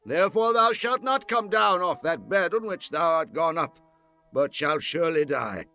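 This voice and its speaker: An actor playing a stern prophet of God in a dramatized scripture reading, solemn and declamatory in archaic phrasing. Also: male voice, a little high, lively intonation, moderate pace, creaky, pushed and bright, faint music behind, full character voice. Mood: commanding, stern.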